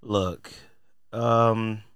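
Low-voiced American man talking bluntly, dry sound. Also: steady tone, very slow, fairly bright tone, clean tone, no echo. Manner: blunt, informal, slangy. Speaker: podcast host. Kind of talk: casual opinionated podcast talk.

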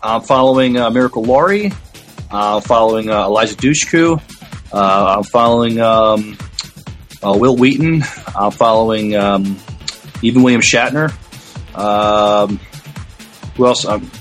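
A man co-hosting a podcast, chatting casually in a hesitant, halting way. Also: on the low side, soft background music. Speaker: podcast co-host.

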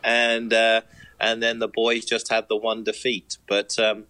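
A man's voice: fairly low pitch, monotone, unhurried, pushed and bright. A man who is a youth soccer coaching director, chatting on a podcast in an upbeat, self-mocking way. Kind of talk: casual sports chat.

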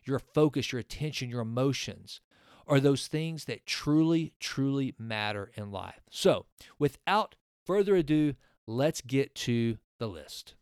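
A man's voice: medium pitch, animated, measured pace, darkish tone, clean tone. A man in his forties hosting his own podcast, talking earnestly in a rambling way with many restarts. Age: 40s. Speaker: podcast host. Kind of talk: casual solo podcast talk with a sponsor read.

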